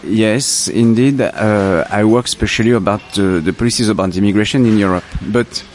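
French-accented filmmaker, fairly low voice, reflective, stops often to find his words. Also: darkish tone, a little hiss or hum. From France. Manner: halting, searching for words, reflective. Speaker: filmmaker, interview guest. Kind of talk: festival interview.

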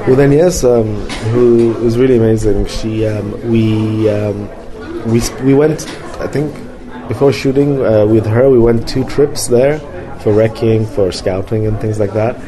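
A man who grew up in London, a film director answering interview questions in a halting way, with many fillers and restarts. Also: lowish voice, rough recording. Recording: crowd noise behind.

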